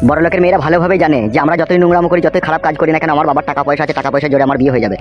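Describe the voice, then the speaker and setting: Fast, high-voiced blunt cartoon henchman, played by a man. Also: fairly even intonation, highs cut off, over music, lightly acted. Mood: bitter storytelling. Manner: blunt, swearing, rural colloquial talk. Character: gruff foul-mouthed henchman, jilted and world-weary young man. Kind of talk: amateur comedy cartoon dub.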